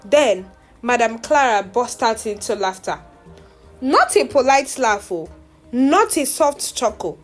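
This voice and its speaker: Slow, high-voiced woman telling dramatic stories. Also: very animated, faint music behind. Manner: theatrical, suspenseful. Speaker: storyteller. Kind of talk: dramatic first-person scripted narration.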